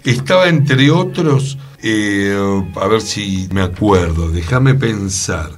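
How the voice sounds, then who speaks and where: A man's voice: lowish voice, lively intonation, takes it slowly, soft background music. A man with an Argentine Rioplatense accent co-hosting a radio talk, telling theatre history in a chatty, conversational way with rhetorical questions. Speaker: radio co-host, actor.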